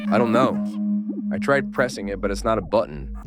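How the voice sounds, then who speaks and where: An actor playing a gruff, blunt older survivor in a horror audio drama, impatient but caring. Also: male voice, lowish voice, expressive, a little fast, lightly acted. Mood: frustrated. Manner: blunt, streetwise, swearing. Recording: music behind the voice.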